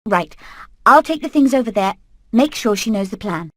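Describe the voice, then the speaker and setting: Brave female hen leader, rather high, earnest, determined, dry studio sound. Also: animated, moderate pace, fairly bright tone, no echo. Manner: earnest, purposeful. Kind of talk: animated video game acting.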